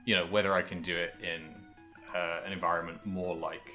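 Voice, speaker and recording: A man co-hosting a podcast, casually talking through video games in a halting, thinking-aloud way. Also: sits low, level tone, bright, forceful tone, faint music behind. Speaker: podcast host. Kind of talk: casual video game discussion.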